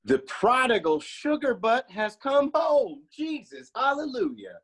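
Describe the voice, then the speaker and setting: A playful honky-tonk bar worker acted by a man in a script read. The pitch leaps around freely as he teases in a folksy, exaggerated way. He is boisterous through and through. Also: very animated, measured pace, fairly bright tone, breathy edge, exaggerated voice. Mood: mock reverent. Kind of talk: screenplay table read.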